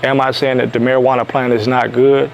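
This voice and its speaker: A man's voice: mid-range voice, little pitch movement, a little fast, gravelly, dull audio, slight background noise. Emphatic man preaching with repetition. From Detroit, USA. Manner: emphatic, repeats lines for stress. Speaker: self-help video host. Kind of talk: preachy motivational monologue.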